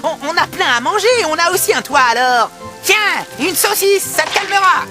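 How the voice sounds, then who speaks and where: A woman voicing a carefree, impatient animal in a cartoon, brisk and dismissive. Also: very high-pitched, animated. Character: brash, carefree, impatient animal who loves food and sleep. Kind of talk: animated cartoon dub acting. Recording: cartoon soundtrack with music behind.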